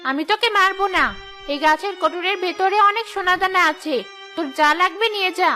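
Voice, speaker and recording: Shrill cackling tree witch. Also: a little fast, bright, forceful tone, faint music behind. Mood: softened. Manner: gloating, menacing. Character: cackling female tree-hollow ghost witch, predatory. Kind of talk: cartoon voice acting.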